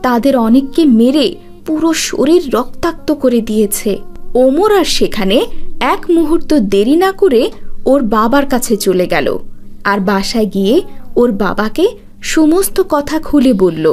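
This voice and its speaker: High, animated woman storyteller. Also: moderate pace. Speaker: narrator. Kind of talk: scripted cartoon story narration.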